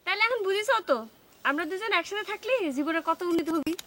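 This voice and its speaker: An actress playing a pushy, proud village sweetheart in a comic TV drama, sharp-tongued, with a rural Bangladeshi dialect. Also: female voice, extremely high pitch, expressive, quick pace, exaggerated voice. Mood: proud, demanding.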